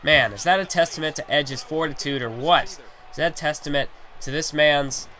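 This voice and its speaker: A man with a strained, hoarse voice that is starting to give out, yet still bright and sharp in tone. He rambles through play-by-play commentary on a wrestling video game, padding his thoughts with filler phrases. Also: fairly high pitch, normal speed, a little hiss or hum. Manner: rambling, heavy on fillers like "you know".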